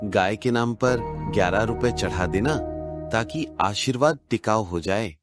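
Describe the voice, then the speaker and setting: A man's voice: on the low side, unhurried, music in the background, natural voice. A man playing a sly fake holy man coaxing money from devotees in a cartoon story.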